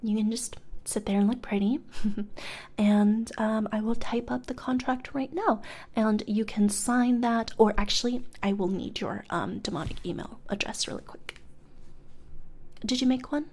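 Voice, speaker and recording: Soft-spoken, half-whispering demon recruiter played by a woman. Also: on the high side, fairly even intonation, measured pace. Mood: polite, dryly teasing. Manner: hesitant, casual, dryly bureaucratic. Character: demon HR interviewer, polite, blasé, teasing corporate recruiter. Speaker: ASMR role-play performer. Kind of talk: soft-spoken ASMR role-play, partly whispered.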